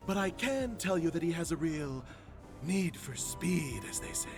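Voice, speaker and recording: A man's voice: fairly high pitch, expressive, moderate pace. An actor playing a chatty, sly man in a video game. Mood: sly, chummy. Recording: game clip with music behind.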